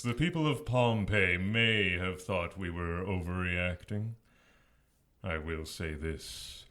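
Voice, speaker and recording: A man playing a scheming, seductive demon lord in an audio drama, with a husky rasp and a mocking, persuasive manner. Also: deep voice, pushed and bright, heavily acted. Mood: sly. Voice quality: husky, raspy. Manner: slow, mocking, theatrical persuasion. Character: scheming male demon lord, seductive tempter hungry for power.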